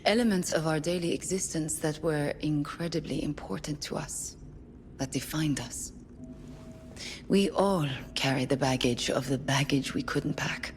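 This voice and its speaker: A woman playing a composed, probing therapist in a space colony for a game audio log, speaking in a calm, clinical, measured way. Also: sits low, long reverb tail, slight background noise. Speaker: doctor, therapist.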